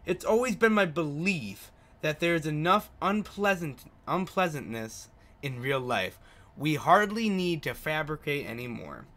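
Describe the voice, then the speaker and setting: Bright-voiced man, lively gaming host. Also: on the high side, a little slow. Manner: stumbles over words when reading aloud. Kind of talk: casual let's-play commentary and reading game text aloud.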